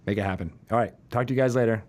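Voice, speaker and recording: A man's voice: lowish voice, very fast, darkish tone. A man hosting a casual interview show, bantering in a jokey, rambling way. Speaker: podcast host.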